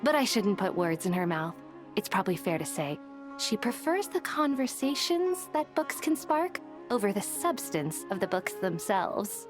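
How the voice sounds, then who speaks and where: A woman's voice: mid-range voice, big pitch swings, normal speed, over music, lightly acted. A woman voicing a shy, sentimental, bookish young woman in a video game, speaking with careful, hesitant phrasing. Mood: thoughtful. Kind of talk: animated video game voice acting.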